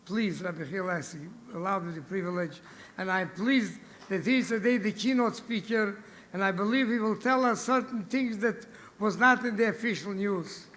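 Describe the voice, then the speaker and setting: A man hosting a gala dinner, introducing the keynote speaker in a halting, effusive way, often repeating himself. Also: sits high, a bit bright. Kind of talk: emotional introduction speech. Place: banquet hall at a gala dinner.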